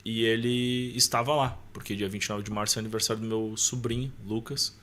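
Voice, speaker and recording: Low-voiced southern Brazilian man telling stories. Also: level tone, a little fast, a bit bright. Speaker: podcast guest. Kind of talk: casual personal storytelling.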